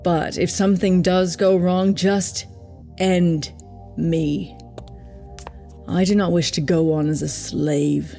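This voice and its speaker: Radio drama android killer played by a woman: mellow, slow-spoken, grave and guarded, music underneath. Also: lowish voice, fairly even intonation, vocal fry. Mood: resigned. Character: defeated female android assassin, bitter and guarded.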